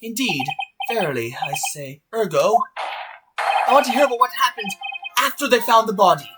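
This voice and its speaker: A woman voicing a proud, formal male prosecutor in a game fandub, halting and flustered. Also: on the high side, lively intonation, heavy background noise, voice pushed up, lightly acted. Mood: stalling, pompous. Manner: halting, pompous. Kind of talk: video game fandub acting. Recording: loud music under the voice.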